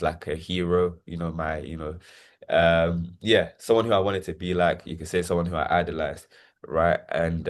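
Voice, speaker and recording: Man, 20s, from Nigeria; low-voiced, halting testimony. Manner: hesitant, repeats words, many fillers. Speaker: interview guest. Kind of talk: reflective personal testimony.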